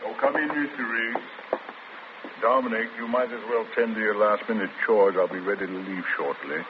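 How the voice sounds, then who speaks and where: An actor playing a calm, gentle male prison priest in a 1940s radio drama, speaking in a measured, pleading way. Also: lowish voice, natural voice. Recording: tinny old radio broadcast with static.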